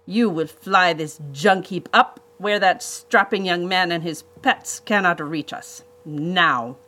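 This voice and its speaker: A woman voicing a pompous, menacing male villain, a vain botanist, in a storybook reading with slow, emphatic delivery. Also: lowish voice, pushed and bright, full character voice. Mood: commanding.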